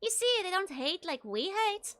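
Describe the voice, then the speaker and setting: A woman's voice: extremely high pitch, sing-song, normal speed, a bit bright, voice pushed up, heavily acted. A Dutch-accented woman reading the lines of a weary male former sellsword, with a light dramatic tone. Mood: grim storytelling.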